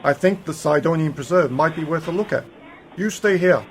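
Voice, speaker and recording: Man acting an eccentric, self-assured sci-fi uncle, higher-pitched. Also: moderate pace, lightly acted. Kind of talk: amateur radio play acting. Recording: faintly noisy home-made radio play recording.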